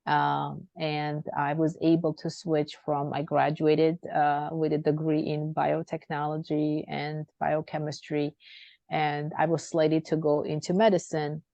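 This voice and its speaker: A woman's voice: low-pitched, flat delivery, unhurried, creaky, mellow, dry recording. A middle-aged woman from Armenia, talking as an interview guest in a rambling way with many fillers and restarts. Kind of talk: conversational interview. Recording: dull lo-fi audio.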